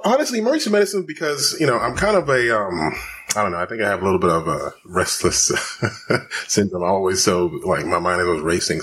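A man from Houston, Texas, a physician guest talking fast with many fillers in a podcast interview. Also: sits low, fairly bright tone, slight background noise. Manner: rapid, many fillers and restarts.

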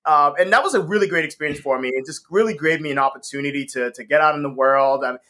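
Bright-voiced, upbeat man laughing easily. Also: a little high, fast talker. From Northeast US. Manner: enthusiastic, laughs often, many ums. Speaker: guest, company co-founder. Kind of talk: casual interview chat.

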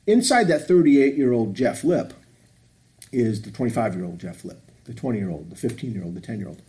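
A man's voice: medium pitch, lively intonation, a little fast, dark tone. A man in his fifties hosting a podcast, talking in a reflective, rambling way with many restarts. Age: 50s. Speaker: podcast host. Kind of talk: casual chat.

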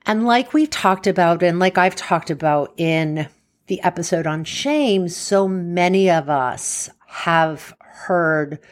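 A woman with a soothing voice hosting a solo podcast, talking informally and explaining things in an unhurried, conversational way. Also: fairly low pitch.

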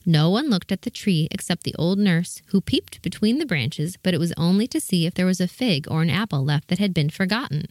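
A woman's voice: lowish voice, lively intonation, fairly quick, few pauses, darkish tone, no echo, studio quality. A woman giving scripted narration of a children's storybook tale.

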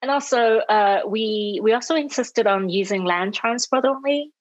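Woman from Hong Kong, thoughtful, measured, dull dry audio. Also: on the high side, dry recording. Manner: measured, thoughtful, light laughs. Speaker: guest, educator. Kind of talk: interview conversation. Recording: dull muffled sound, dry.

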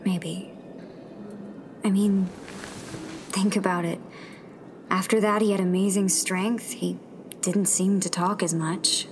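A woman playing a thoughtful, self-doubting young rebel in a sci-fi radio drama, with a soft-spoken, hesitant manner. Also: medium pitch, steady tone, slow pace, long pauses, slight background noise. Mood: sombre, pondering.

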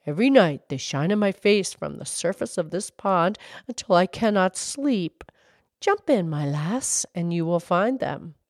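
A woman's voice: lowish voice, expressive, unhurried, darkish tone, no room sound, studio quality. A woman voicing a weary, grumbling old mill wheel from a folk tale, groaning as it talks.

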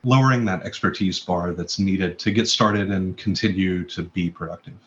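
A man with a very deep voice speaks plainly and matter-of-factly as an interview guest. His audio sounds slightly dull and lo-fi, as if it came from a modest remote mic. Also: moderate pace, fairly bright tone. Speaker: guest, chief architect. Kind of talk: conversational interview.

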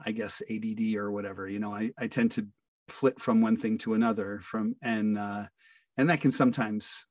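A man's voice: on the low side, no echo. Older American man, slightly distant mic. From northeastern United States. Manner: hesitant, self-deprecating, chuckling easily. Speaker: interview guest, amateur-turned voice actor. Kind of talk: casual interview chat.

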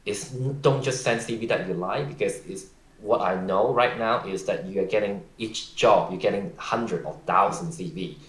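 Guy, lowish voice, giving blunt job tips, kinda echoey room. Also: normal speed. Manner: direct, advice-giving, loose grammar. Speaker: podcast guest. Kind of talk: casual conversation.

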